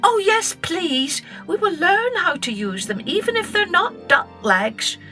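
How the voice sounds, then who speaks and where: Lady putting on squeaky happy ducks, eager n pleading, high bright storybook voice. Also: very animated, unhurried, soft background music, full character voice. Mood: grateful. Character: group of grateful ducks, high and chirpy.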